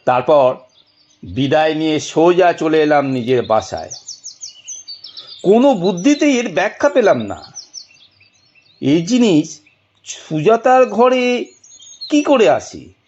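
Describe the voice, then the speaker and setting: A man narrates a suspenseful detective story in a fairly high voice that swings widely in pitch. He phrases slowly and theatrically, drawing out the tension. The recording is slightly dull, with noise behind him. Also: big pitch swings, a little mellow. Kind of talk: dramatic first-person scripted narration.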